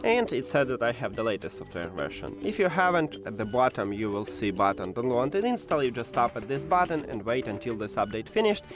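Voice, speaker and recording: A man who is a non-native English speaker presents a step-by-step tech how-to in an informal, instructional way with hesitant pauses. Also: mid-range voice, big pitch swings, music in the background. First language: not English.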